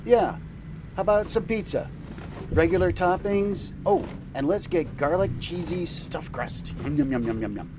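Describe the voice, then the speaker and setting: A man with a fairly high voice chats informally as the captain-host of a trucking show. His delivery is loose and casual, over faint vehicle noise. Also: moderate pace. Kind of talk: semi-scripted casual chat.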